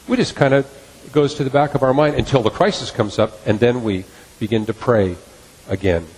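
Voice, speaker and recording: A middle-aged man delivers a sermon from the pulpit, earnest and fond of repeating his key lines. His voice is a little husky. The sound carries the long reverb of a church sanctuary. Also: medium pitch, brisk, a little mellow. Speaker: pastor.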